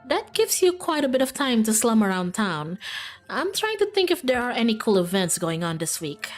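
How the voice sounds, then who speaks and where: Woman acting out a friendly outdoorsy young woman, visual novel character, lively pitch, upbeat rambling, faint music. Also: moderate pace. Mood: upbeat, chatty. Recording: music in the background.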